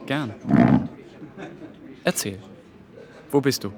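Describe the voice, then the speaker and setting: An actor playing a dutiful, principled young German intern in a radio drama, earnest and a little hesitant. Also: male voice, mid-range voice, extremely slow. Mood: friendly, curious.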